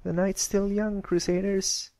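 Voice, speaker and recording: A man's voice: high-pitched, flat delivery, measured pace, dry recording, voice pushed up. A man voicing a brash, boastful young tomboy pony filly in a storybook reading, talking defensively. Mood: eager. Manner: loud, defensive, bragging.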